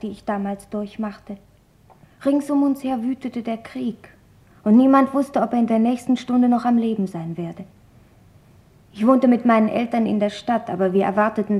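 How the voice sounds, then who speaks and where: An actress voicing an earnest, thoughtful young girl who recounts wartime fear in a deliberate radio play reading. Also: fairly high pitch, mellow, natural voice. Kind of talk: dramatic literary reading in German radio play.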